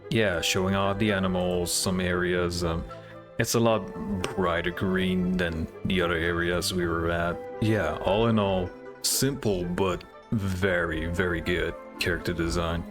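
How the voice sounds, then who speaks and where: A man's voice: deep voice, steady tone, a little slow. A man hosting a reaction video, commenting casually and hesitantly with music behind him. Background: music from the game trailers.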